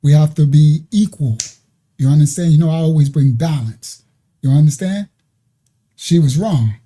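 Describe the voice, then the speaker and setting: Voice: mid-range voice, moderate pace, mellow, husky. An online ministry host giving loose, casual commentary over video clips, often tagging "right?" onto sentences. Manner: talks loosely, with repeated "right?" and "you understand?" asides.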